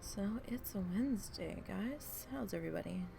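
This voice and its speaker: Gravelly, stuffy-nosed woman streamer. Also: mid-range voice, animated, normal speed, over music. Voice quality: nasal, stuffy from allergies. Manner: chatty, rambling, many ums. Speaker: game streamer. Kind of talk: casual live-stream chat.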